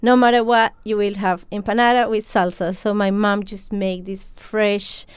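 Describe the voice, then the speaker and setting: A woman from Colombia speaks English as her second language, answering interview questions with frequent ums and easy laughter. Her voice is very clear and smooth. The recording is close and dry, with no room sound. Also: medium pitch, flat delivery, normal speed, no room sound. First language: Spanish. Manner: hesitant, many fillers, laughs often. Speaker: café owner.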